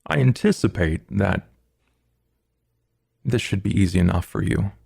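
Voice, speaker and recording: A man playing a courtly, stern gentleman vampire, speaking in a measured, formal and proper way. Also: on the low side, animated, gravelly, husky, no echo, clean studio sound, heavily acted. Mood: formal, businesslike.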